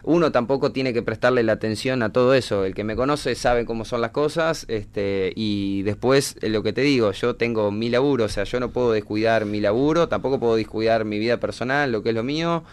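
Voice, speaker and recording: A man in his twenties with a Uruguayan accent, a racing driver answering interview questions in a halting way, often repeating words while he thinks. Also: mid-range voice, smooth. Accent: Uruguayan Spanish. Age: 20s. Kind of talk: casual interview talk.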